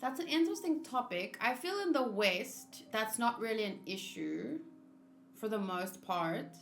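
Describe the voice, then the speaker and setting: High-voiced woman, musing game streamer. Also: lively intonation. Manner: musing, halting, conversational. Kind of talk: casual game commentary. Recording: roomy sound over faint game music.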